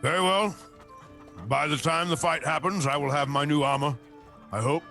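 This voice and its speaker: A man playing a tabletop game in character, talking slowly and tersely with frequent hums. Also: fairly high pitch, vocal fry, bright, forceful tone, soft background music. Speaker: player.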